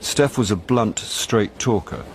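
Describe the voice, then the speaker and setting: A man's voice: lowish voice, expressive, slow, vocal fry, slight background noise, full character voice. A man playing a wry, philandering middle-aged actor and amateur sleuth in a radio comedy drama, dry and flippant. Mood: dry, narrating. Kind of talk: radio comedy-drama acting with first-person narration.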